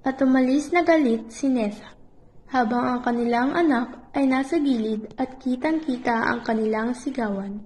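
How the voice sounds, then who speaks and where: Woman narrating a puppet show story, high soft mellow voice, scripted. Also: moderate pace.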